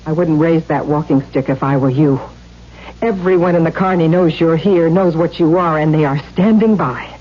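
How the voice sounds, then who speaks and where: A woman's voice: low-pitched, moderate pace. An actress playing a defiant, bitter tattooed carnival woman in a theatrical, mocking way in a 1970s radio drama. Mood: furious defiant. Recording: dull noisy 1970s radio recording with hum.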